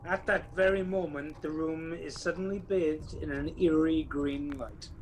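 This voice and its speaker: A man's voice: a little high, fairly even intonation, unhurried, a little mellow, a little hiss or hum, close to an everyday voice, rough recording. A man acting as narrator of a radio play, telling a ghost tale in a dramatic, wry storytelling manner. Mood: suspenseful.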